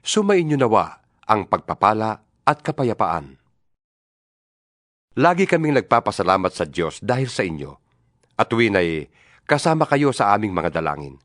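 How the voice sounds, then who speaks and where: A man's voice: lowish voice, big pitch swings, moderate pace, pauses often, fairly bright tone, no room sound, clean studio sound. A man with a Filipino accent giving a solemn, earnest dramatized reading of scripture as a scripted narrator.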